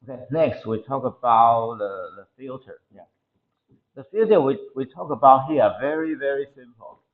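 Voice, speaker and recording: A man with a Taiwanese accent lecturing to a class, explaining technical material haltingly and often repeating his phrases. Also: medium pitch, phone-quality sound. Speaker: university lecturer. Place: indoor classroom.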